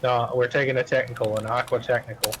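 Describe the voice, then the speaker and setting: Male gamer, mid-pitched, loose rambling talk full of cursing, slight background hiss and keyboard. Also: flat delivery, fast. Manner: heavily profane, rambling. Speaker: roleplay game player. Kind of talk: casual online game chat. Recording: faint background noise, keyboard typing.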